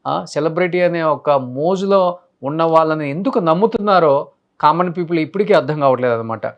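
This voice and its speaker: A man's voice: on the high side, brisk, highs cut off. A male news anchor giving unscripted commentary, speaking emphatically and listing his points, mixing in English words.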